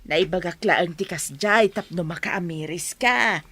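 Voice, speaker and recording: A woman's voice: on the low side, unhurried, fairly bright tone. A woman playing a frank village wife advising her husband in an Ilocano radio drama. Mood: admonishing.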